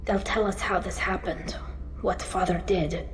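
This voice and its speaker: Casting: actress for young alien female engineer, video game drama. Earnest, defiant, grief-laden, halting delivery, roomy reverberant sound. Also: fairly low pitch, fairly even intonation, a little hiss or hum, lightly acted. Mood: somber resolve. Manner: earnest, halting under emotion. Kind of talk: cinematic video game voice acting. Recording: roomy echo, long reverb.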